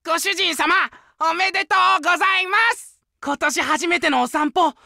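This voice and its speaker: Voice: very high voice, moderate pace, gravelly, pushed and bright, no room sound, studio quality, full character voice. An actor playing an eager, childlike, fiercely loyal wolf guard dog in an anime game, speaking in simple, exclaimed phrases. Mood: joyful, eager. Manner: simple broken childlike speech, exclaiming, needy for praise. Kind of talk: anime game character voice lines.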